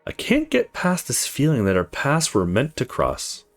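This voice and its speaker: A man's voice: medium pitch, huge pitch swings, moderate pace, soft background music. A man reading aloud as a brooding, introspective young visual novel protagonist, reflective and earnest. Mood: reflective, tender.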